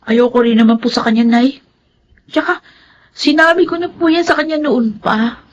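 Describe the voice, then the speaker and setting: A woman's voice: fairly high pitch, normal speed, muffled recording, a little hiss or hum, close to an everyday voice. A woman acting earnest, principled young daughter. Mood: resolute. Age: young adult. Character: principled, hardworking young daughter, firm and sensible. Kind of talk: Filipino radio drama acting.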